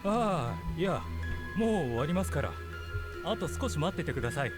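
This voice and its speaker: A man voicing a brusque, hot-tempered husband in a Japanese visual novel, curt and gruff. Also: on the high side, very animated, fast talker, over loud music. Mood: subdued.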